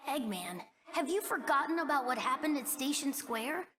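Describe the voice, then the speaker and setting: High-pitched earnest little fox sidekick. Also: female voice, lively intonation, moderate pace, bright, forceful tone. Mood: defiant. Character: small young fox sidekick, earnest, determined and awestruck. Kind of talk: video game cutscene acting. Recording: long reverberant hall.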